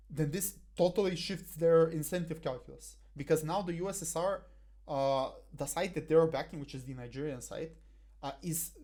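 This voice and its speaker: A man's voice: fairly high pitch, warm tone. A young man, talking through a debate motion in a hesitant way full of fillers and restarts, on a headset in a small room. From Bulgaria. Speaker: debate coach. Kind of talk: explaining a debate motion over slides.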